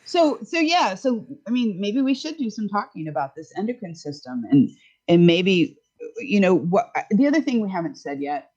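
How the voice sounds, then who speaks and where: A woman's voice: mid-range voice, very animated, normal speed, a little mellow, highs cut off. A woman in her fifties, a sex educator, explaining things frankly and easily in a casual podcast chat. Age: 50s.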